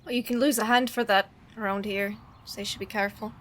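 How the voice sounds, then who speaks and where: A woman's voice: medium pitch, steady tone, fast, a little hiss or hum, natural voice. A woman playing a wary, dry-humoured axe carrier in a fantasy tabletop roleplay, matter-of-fact.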